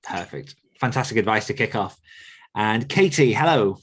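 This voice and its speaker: A man's voice: lowish voice, expressive, moderate pace, fairly bright tone, breathy edge. A man hosting a casual group podcast, inviting guests to introduce themselves. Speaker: podcast host.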